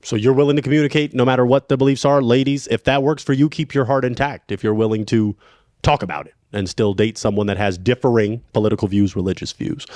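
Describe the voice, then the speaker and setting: A man hosting a dating game show outdoors, upbeat and animated as he guides contestants. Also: mid-range voice, no room sound, studio quality. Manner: upbeat, animated, quick-talking. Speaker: host.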